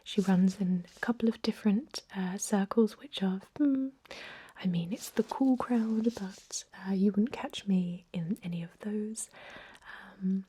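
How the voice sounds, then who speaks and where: A woman playing an ancient vampire emergency-room doctor in an ASMR roleplay, speaking in a soft, breathy, confiding whisper with hesitant, unhurried phrasing. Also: mid-range voice, no echo. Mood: gossipy disapproval.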